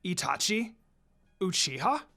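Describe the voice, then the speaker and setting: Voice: mid-range voice, sing-song, a little slow, creaky, sharp tone, faint music behind, full character voice. A narrator voicing a commanding, curious woman village leader with a direct, questioning manner in a read-aloud story. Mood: astonished.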